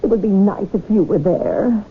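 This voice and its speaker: An actress playing a genteel, insistent society wife in a 1970s radio drama, with a theatrical, coaxing manner. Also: female voice, mid-range voice, normal speed, close to an everyday voice. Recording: tinny, noisy 1970s radio recording.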